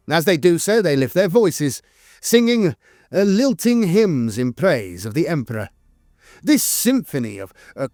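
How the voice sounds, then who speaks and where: A man with a slightly high voice narrates scripted lore in a formal, measured manner. His pitch moves in lively swings across phrases, with an occasional small hesitation. The sound is dry and close, with little room on it. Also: dry recording. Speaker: channel narrator.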